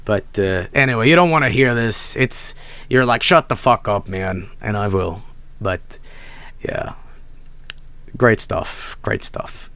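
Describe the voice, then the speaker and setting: A hoarse man, chatting casually on a stream, rambling with many restarts and soft asides. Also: fairly low pitch, no echo. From Sweden.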